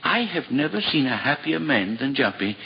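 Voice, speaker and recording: A man playing a kindly, gently fussing priest in a 1950s radio drama. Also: medium pitch, normal speed, gravelly. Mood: fond. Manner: warm, gently fussing, lively. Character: kindly gentle male monk, Father Pico. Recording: dull old radio broadcast with hiss.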